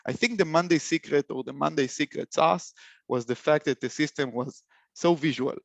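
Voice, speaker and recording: A young man with an Israeli accent presenting a webinar, halting speech full of fillers and restarts. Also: on the high side, creaky, no room sound. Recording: dull lo-fi call audio.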